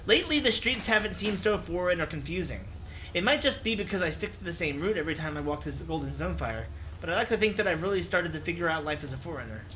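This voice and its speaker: A man's voice: a little high, animated, quick pace, pushed and bright, a little hiss or hum, rough recording. A man hosting a let's play, reading a visual novel aloud and adding casual commentary. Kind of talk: game narration and casual commentary. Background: background music.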